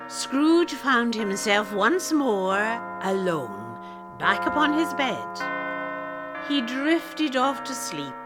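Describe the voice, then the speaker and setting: Slow, expressive woman narrator over music. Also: a little high. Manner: expressive storytelling. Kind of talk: scripted radio play narration.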